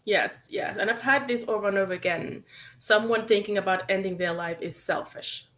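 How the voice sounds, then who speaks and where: A woman's voice: medium pitch, normal speed, a bit bright. A woman therapist from Uganda, whose first language is Acholi, speaking earnestly and reflectively as an interview guest. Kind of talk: interview answers.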